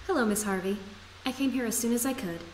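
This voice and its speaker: A woman's voice: a little high, fast, natural voice. A woman playing a dutiful, respectful subordinate manager in an audio roleplay. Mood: respectful, brisk. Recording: echoey room, loud background noise.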